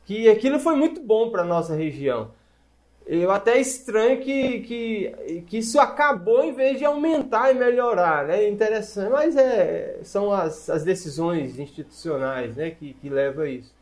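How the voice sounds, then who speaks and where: A man's voice: high voice, expressive, normal speed, darkish tone. A man hosting an interview, asking long, rambling questions dotted with "né". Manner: rambling, with many "né" and restarts. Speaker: interview host.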